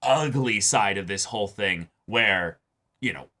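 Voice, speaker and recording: A man's voice: on the low side, expressive, a little slow, bright, forceful tone. A man co-hosting a reaction show in casual chat, often repeating words and acting out imagined lines. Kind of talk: casual chat discussing a TV episode.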